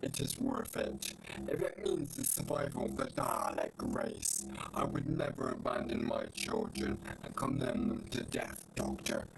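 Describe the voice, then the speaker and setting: An actor playing a proud, menacing old mad scientist in a science fiction audio drama, with a gravelly, grandiose delivery. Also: male voice, medium pitch, unhurried, heavy background noise. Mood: proud, defiant. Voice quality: gravelly, croaking. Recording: loud music bed under voice.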